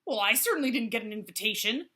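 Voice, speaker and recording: A woman voicing a jealous, whiny male jackal who grumbles, demands and yells that nothing is fair. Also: sing-song, quick pace. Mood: whiny, indignant. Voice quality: whining, shrill, indignant.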